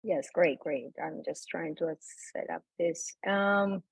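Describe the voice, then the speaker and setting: A woman from Peru with a Peruvian accent, presenting as an academic researcher in an unscripted, halting way over a remote video call. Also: lowish voice, creaky, slightly rough, dry recording. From Lima, Peru. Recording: dull lo-fi video call audio.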